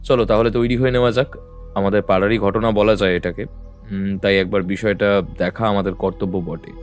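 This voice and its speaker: A man playing a composed, observant detective in an audio drama, talking in a measured, thoughtful, familiar way. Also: on the low side, steady tone, soft background music, natural voice. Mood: purposeful.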